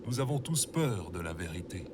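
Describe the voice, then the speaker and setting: A man's voice: low-pitched, big pitch swings, normal speed, noisy background. A man voicing a solemn, philosophical robot companion in an audio comedy, speaking formally and sententiously. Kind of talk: audio fiction comedy acting.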